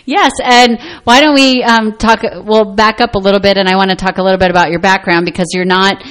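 A woman's voice: mid-range voice, fairly even intonation, a little fast, fairly bright tone. A woman hosting a conversational radio interview in an upbeat, encouraging way. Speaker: radio show host.

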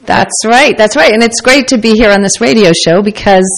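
A woman's voice: on the high side, normal speed, vocal fry, rough recording. An American woman speaking conversationally as the guest in a radio interview. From United States. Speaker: interview guest, company founder.

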